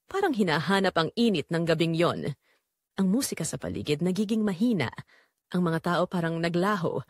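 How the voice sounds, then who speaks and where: A woman narrating as a guilt-ridden, secretly longing mother in a scripted audio drama, with a measured, confessional delivery. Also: mid-range voice, darkish tone, no echo, studio quality.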